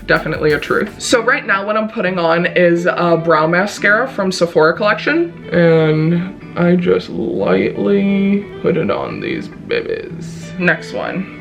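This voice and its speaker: A woman's voice: fairly low pitch, fairly bright tone. A young woman from Chicago with a Midwestern accent, chatting casually and playfully while she does her makeup. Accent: Midwestern American. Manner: bubbly, playful, self-mocking. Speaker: video host.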